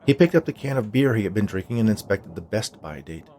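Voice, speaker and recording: A man's voice: fairly low pitch, expressive, quick pace, a little mellow. A man reading a scripted short story aloud as its narrator.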